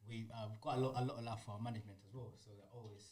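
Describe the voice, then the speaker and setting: A man's voice: lowish voice, steady tone, racing, a little mellow. A man whose first language is Swahili, a music group member chatting as a guest, laughing and repeating words. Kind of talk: casual interview chat.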